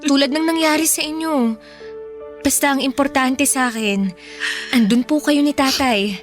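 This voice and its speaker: An actress playing a gentle, sensible Filipino teenage daughter in a radio drama, earnest and soothing. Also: female voice, sits high, moderate pace, faint music behind, close to an everyday voice. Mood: reasoning.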